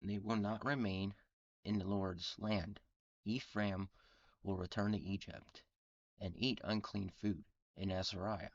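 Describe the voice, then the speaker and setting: A man's voice: fairly low pitch, fairly even intonation, dry recording, highs cut off. A man with a cold, sounding congested, reading scripture aloud and explaining it, stumbling and restarting words often. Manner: halting reading with frequent restarts and throat clearing. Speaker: Bible reading channel host.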